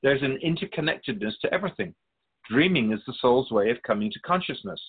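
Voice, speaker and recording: A man playing a patient, all-knowing keeper of souls in a radio drama, explaining things in a measured, gently wry way. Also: medium pitch, animated, slightly rough, narrow, tinny audio. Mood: calm, probing. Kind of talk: scripted radio drama dialogue.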